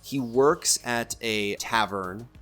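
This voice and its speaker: A man's voice: mid-range voice, takes it slowly, a bit bright, faint music behind. A man with a nasal voice chatting casually as a guest voice actor, self-deprecating and laughing often. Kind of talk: casual chat.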